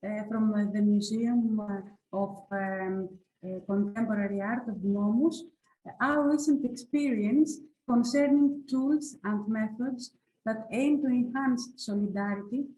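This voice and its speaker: A woman from Greece with a Greek accent, speaking English as a museum director at an online conference, measured and hesitant with fillers. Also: a little high, dark tone, roomy sound, poor audio. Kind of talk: prepared conference talk. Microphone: video call.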